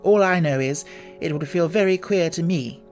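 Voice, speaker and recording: A man's voice: a little high, normal speed, faint music behind, lightly acted. A man voicing a polite, puzzled young girl in a storybook, speaking earnestly and a little plaintively. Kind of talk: audiobook character voice.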